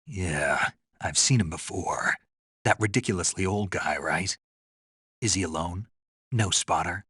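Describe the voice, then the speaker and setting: A man playing a gruff, raspy special forces soldier in a video game, stoic and dryly naive, with a terse, deadpan delivery. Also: low-pitched, a little slow, no room sound, studio quality, exaggerated voice. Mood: terse, focused.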